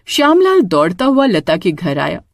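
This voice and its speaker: A woman's voice: on the low side, wildly swooping pitch, normal speed, no room sound, clean studio sound. A woman storyteller reading a scripted tale in a dramatic narrating style.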